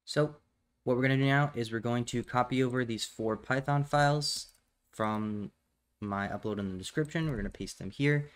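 A man narrating a step-by-step software tutorial in a matter-of-fact, instructional way. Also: lowish voice. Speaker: tutorial presenter.

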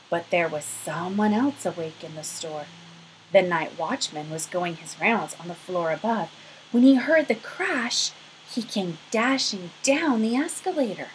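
Animated, slow-reading woman storyteller. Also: on the low side, slight background noise, poor audio. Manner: animated, emphatic, stretches key words. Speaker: storybook reader for children. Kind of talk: expressive children's read-aloud. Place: small room at home.

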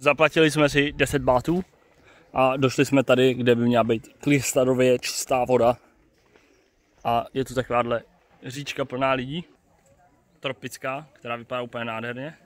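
A man's voice: mid-range voice, level tone. A man narrating a casual travel vlog outdoors, recorded on a handheld phone. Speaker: travel vlogger. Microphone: handheld phone behind the camera. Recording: noisy, distant-sounding outdoor phone recording.

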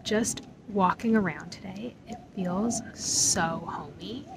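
A woman's voice: medium pitch, takes it slowly, vocal fry, noisy background. A woman in her thirties chatting reflectively as a travel vlogger, filmed on a handheld phone. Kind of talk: casual travel vlog. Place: outdoors at temple grounds. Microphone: phone camera at arm's length.

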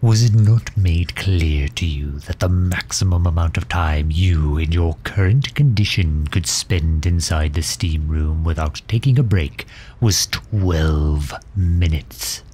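A man playing a commanding, protective villain in a roleplay audio drama, formal and stern, with a husky, breathy voice. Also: low-pitched, mellow, a little hiss or hum. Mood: alarmed, scolding. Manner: formal, stern, halting when flustered. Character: protective, commanding male villain fretting over injured hero. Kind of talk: scripted roleplay audio drama in ASMR style.

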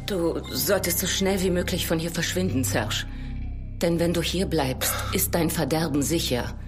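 Woman acting a haunted sad young village girl in a horror radio play, really low voice, bit of music. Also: normal speed, lightly acted. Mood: haunted warning. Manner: mournful, haunted. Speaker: actress in an audio drama. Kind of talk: gothic horror audio drama acting.

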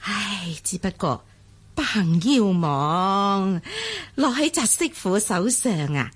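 Wheedling elderly woman, Hong Kong Cantonese radio drama, unhurried delivery, fawning and money-minded. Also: mid-range voice, expressive, husky. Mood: wheedling, lamenting. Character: wheedling old aunt bargaining over her nephew's widow.

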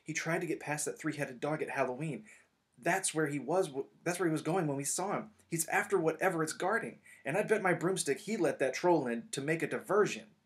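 A man's voice: mid-range voice, expressive, brisk, fairly bright tone. A man voicing an anxious, earnest eleven-year-old schoolboy who talks in an urgent, breathless way.

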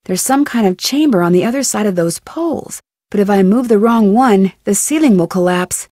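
A woman playing a curious, plucky young amateur detective in an adventure game, thinking aloud in a chipper, clearly enunciated way. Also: medium pitch, animated, moderate pace, dark tone, dry recording, studio quality, natural voice. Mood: wary. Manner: inquisitive, chipper, clearly enunciated musing to herself.

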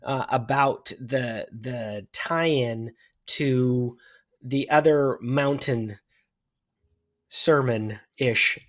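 A middle-aged American man reflects aloud as a guest in a relaxed talk about faith. His pitch is average for a man, and he builds his thoughts as he goes. He is on a video call from a room at home. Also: extremely slow. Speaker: discussion guest. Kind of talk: casual religious discussion.

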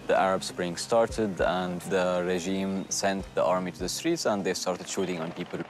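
Calm deep-voiced man from Syria. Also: unhurried, pauses often, music in the background. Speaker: charity founder. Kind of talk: documentary interview. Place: outdoor garden.

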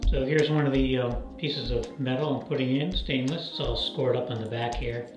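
A man's voice: medium pitch, fairly even intonation, normal speed, poor audio. This is a man in his fifties walking viewers through his boat restoration work. He talks plainly and casually, with a few fillers along the way. He is recorded in an indoor workshop on a camera mic, with faint music in the background. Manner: plain, matter-of-fact explaining with fillers.

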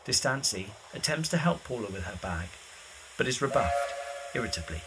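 A narrator reading scripted stage directions for an audio drama in a measured, descriptive way. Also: mid-range voice, big pitch swings, heavy background noise.

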